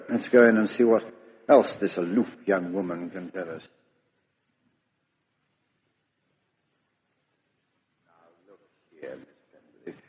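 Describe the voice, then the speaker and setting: An actor playing a brisk, blunt British police inspector in a 1950s radio drama. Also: male voice, low voice. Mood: pressing. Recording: muffled vintage radio broadcast, reverberant studio.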